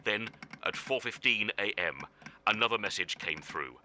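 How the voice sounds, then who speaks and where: A man's voice: fairly low pitch, expressive, moderate pace, bright, forceful tone, a little hiss or hum. An actor playing a sombre male news reader from 1912, delivering formal telegram bulletins in a radio drama. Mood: grave, urgent.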